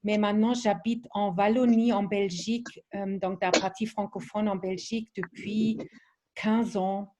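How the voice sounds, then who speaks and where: German-accented woman, slow and hesitant. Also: medium pitch, little pitch movement, noisy background. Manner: hesitant, many fillers and restarts. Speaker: presenter of a training webinar. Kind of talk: online training presentation.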